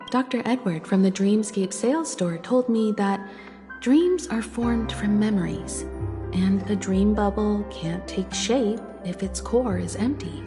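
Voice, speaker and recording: A woman's voice: mid-range voice, lightly acted. An actress playing a composed, reassuring woman who guides others in a video game, poised and explanatory. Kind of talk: scripted game voice acting. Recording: loud music behind. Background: music.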